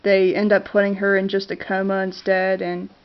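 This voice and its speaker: Congested woman with a flat monotone. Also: medium pitch, normal speed, smooth. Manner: hesitant, many fillers and restarts. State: congested with a cold, clearing her throat. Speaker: vlogger reviewing favorite movies. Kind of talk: casual talk to camera. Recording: dull lo-fi camera audio.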